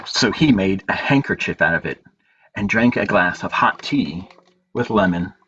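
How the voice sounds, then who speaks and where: A man hosting a storytime as a librarian, reading picture books aloud in measured phrases with pauses and informal asides. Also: deep voice, expressive, a bit bright. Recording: dull lo-fi audio with clear background noise.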